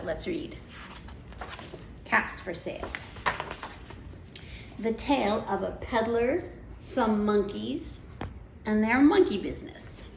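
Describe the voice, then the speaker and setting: A woman reads a children's picture book at an unhurried pace, with very lively, swooping intonation. She talks directly to young listeners and puts questions to them. The room is echoey, with a little background noise. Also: mid-range voice, creaky. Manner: expressive, deliberate, asking listeners questions. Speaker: storytime host reading a picture book to children. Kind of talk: read-aloud storytelling with asides to child listeners.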